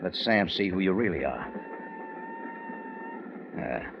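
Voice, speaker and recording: Tough wry detective hero, American, clipped slangy delivery, animated pitch, muffled old-time radio sound. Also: male voice, slow, vocal fry, background noise, full character voice. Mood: confident, wry. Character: tough, wry American private adventurer recounting his own case. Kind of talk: 1940s-50s radio detective acting with first-person narration. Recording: dull old radio recording with music.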